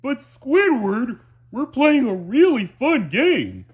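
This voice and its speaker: A man voicing a dim, eager, good-natured cartoon starfish, loud and simple-minded, with a husky voice. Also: very high voice, huge pitch swings, slow, lo-fi sound, pitched above the performer's own voice, heavily acted.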